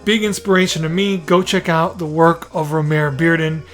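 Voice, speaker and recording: A man hosting a podcast in a casual, informal and excitable way. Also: on the high side, moderate pace, soft background music. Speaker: podcast host.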